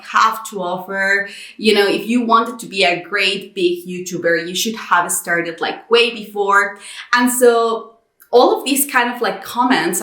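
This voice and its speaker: A thirty-year-old woman from Mexico telling her personal story in a chatty vlog, acting out her own thoughts as she goes. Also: mid-range voice. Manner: animated retelling with quoted inner dialogue. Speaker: YouTube content creator. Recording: roomy echo.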